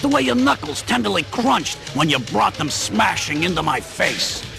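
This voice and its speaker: A man's voice: sits high, very animated, normal speed, creaky, music in the background, full character voice. A man playing a brash, blunt working-class plumber in a comic radio play. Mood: smooth-talking, wooing.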